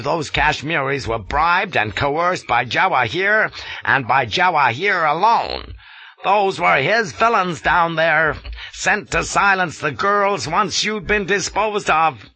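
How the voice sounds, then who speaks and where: Guy putting on a raspy grizzled yank colonel, barking way up high, very bright. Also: lively intonation, unhurried, full character voice, poor audio. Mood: angry, scolding. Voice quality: rasping. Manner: barking, indignant, blustering. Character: gruff irascible American colonel, grizzled veteran.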